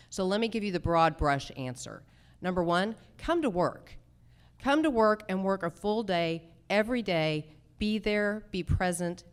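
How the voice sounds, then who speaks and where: Woman running for office, lowish voice, emphatic and punchy forum answers. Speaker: judicial candidate at a forum.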